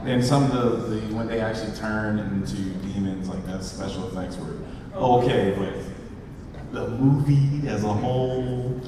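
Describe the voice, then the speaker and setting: A man's voice: fairly low pitch, moderate pace. A man co-hosting a casual convention panel in an informal, joking way. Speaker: panel co-host. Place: large convention panel room with audience. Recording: echoey hall, distant mic, crowd noise.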